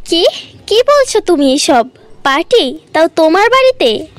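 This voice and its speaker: A woman voicing an envious, greedy young girl in a cartoon fairy tale, shrill and emphatic. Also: very animated, measured pace, no echo, heavily acted. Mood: astonished.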